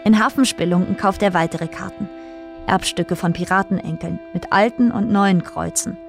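A woman's voice: mid-range voice, normal speed, vocal fry, soft background music, natural voice. A woman reading scripted literary narration as the storyteller of a radio play. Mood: measured storytelling. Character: female storyteller narrating island histories.

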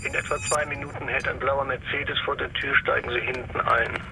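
An actor playing a curt, anonymous caller giving instructions in a crime radio drama, terse and commanding. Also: male voice, medium pitch, flat delivery, a little fast, vocal fry, sharp tone, poor audio. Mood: curt, controlled. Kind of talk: naturalistic German crime radio drama acting. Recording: faint background noise.

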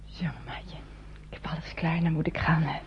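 An actress playing a tender young woman in a radio drama, speaking softly and breathily to her mother. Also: deep voice, normal speed, whispered, lightly acted. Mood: tender farewell. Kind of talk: intimate radio drama acting. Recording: dull, faintly noisy old radio recording.

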